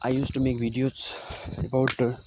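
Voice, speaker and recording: A young man from Sikkim, India, with an Indian English accent and Nepali as his first language, hosting his channel in a casual, unscripted talk with many pauses and "uh" fillers. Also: medium pitch, completely flat delivery. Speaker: YouTube channel host. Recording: clear outdoor insect and animal noise.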